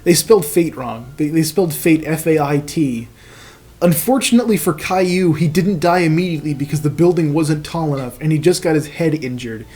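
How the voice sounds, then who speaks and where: A man's voice: a little high, brisk, darkish tone, husky. A man reading fan fiction aloud as host, in a comic narration with profane, mocking casual asides.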